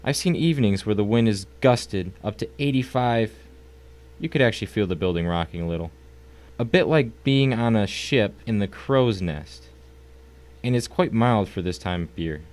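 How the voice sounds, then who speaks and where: A man playing a smug, courteous, wealthy crime boss in an audio drama, with suave, mannered, drawn-out politeness. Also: fairly low pitch, expressive, darkish tone, lightly acted. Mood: smug, genial.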